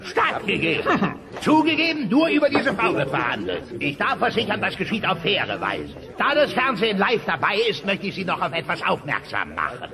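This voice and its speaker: An actor playing a pompous, domineering dinosaur boss chairing a hearing in a German audio drama. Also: male voice, sits high, wildly swooping pitch, normal speed, a crowd in the background, exaggerated voice. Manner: pompous, blustering.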